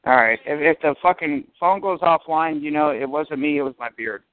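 A man's voice: on the high side, normal speed, dry recording. A man calling in on a phone chat line, griping and cursing freely in casual talk. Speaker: caller and guitar player. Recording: tinny dry phone line.

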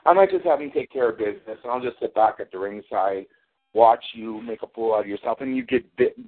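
A man cutting a sarcastic, mocking wrestling promo, taunting his rival as champion. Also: on the low side. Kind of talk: trash-talking wrestling promo over a call. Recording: tinny phone line.

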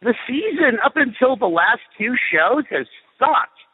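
A man's voice: very high-pitched, big pitch swings, a little slow, husky. A man giving blunt, sarcastic opinions as a radio guest on a phone call. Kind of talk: opinionated sports talk. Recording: tinny phone line with long reverb.

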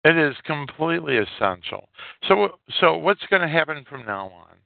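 A man hosting a solo podcast, giving unscripted political commentary in a halting way and repeating phrases for emphasis. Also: on the high side, big pitch swings, creaky, no room sound. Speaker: podcast host. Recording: muffled, tinny phone-like sound.

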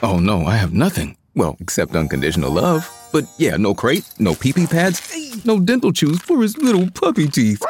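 A man's voice: medium pitch, huge pitch swings, normal speed, darkish tone, soft background music. A man reading a scripted ad in a playful, self-mocking way. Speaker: ad announcer. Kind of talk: scripted radio ad read.

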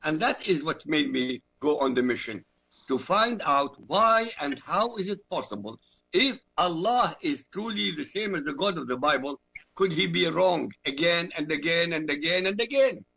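A man from Iraq whose first language is Arabic, arguing forcefully as a guest and repeating phrases for emphasis. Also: fairly high pitch, expressive, normal speed, creaky, husky, rough recording. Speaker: guest commentator. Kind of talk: emphatic talk-radio argument.

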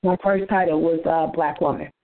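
A soft-voiced woman, a poet being interviewed, chatting casually and telling stories with lots of quoted dialogue. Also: deep voice, steady tone, brisk, vocal fry. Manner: chatty, quotes herself and others. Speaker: interview guest, spoken word poet. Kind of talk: casual interview chat, storytelling. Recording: tinny phone-line internet radio sound.

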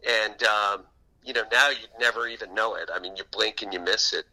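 A man's voice: on the low side, steady tone, fairly quick, sharp tone, low-quality recording. A man being interviewed as an author, telling anecdotes in a conversational radio style.

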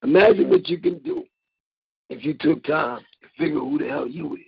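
A hoarse, raspy-voiced man calling in to a radio talk show, preaching with animated, swooping delivery. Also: on the high side, normal speed. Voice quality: raspy, gravelly. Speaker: caller and fellow radio host. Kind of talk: call-in radio chat. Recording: dull lo-fi call-in line.

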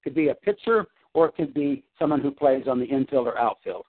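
A man's voice: mid-range voice, fairly quick. Man rambling over a tinny phone line. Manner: rambling with many restarts and fillers. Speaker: client on a coaching call. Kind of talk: casual phone conversation. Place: at home.

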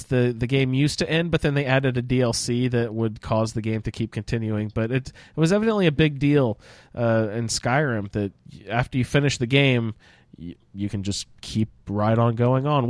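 A man hosts a casual gaming roundtable with an inquisitive manner, inviting others to weigh in. His voice sits at an average male pitch, and the sound is close and dry, with very little room in it. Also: normal speed, hardly any pauses, no echo. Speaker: podcast roundtable host. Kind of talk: casual roundtable discussion of a video game.

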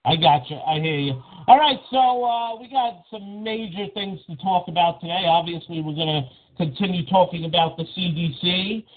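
A man co-hosting a call-in show in casual chat, halting and repeating phrases, heard over band-limited call audio. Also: high voice, narrow, tinny audio.

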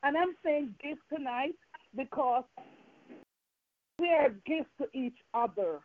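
A woman's voice: sits high, expressive, slow pace. A woman with a Jamaican accent preaching a fervent evening sermon, full of repeated phrases and rhetorical questions. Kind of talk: evening sermon with call and response. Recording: tinny phone-line sound.

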